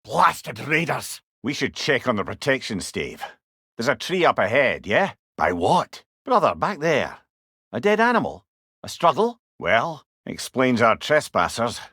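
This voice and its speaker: A man playing a wise, witty older companion in a video game, with a Scottish accent and a wry, avuncular, expressive manner. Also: medium pitch, a little slow, husky, no room sound, clean studio sound, full character voice. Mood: alert, urging. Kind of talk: video game character dialogue.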